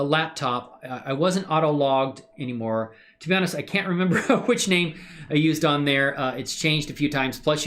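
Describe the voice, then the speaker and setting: A man's voice: medium pitch, a bit bright. A man live streaming while he works on 3D models, chatting loosely with viewers in a rambling, self-deprecating way. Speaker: live streamer doing 3D work. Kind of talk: casual live-stream chat while working.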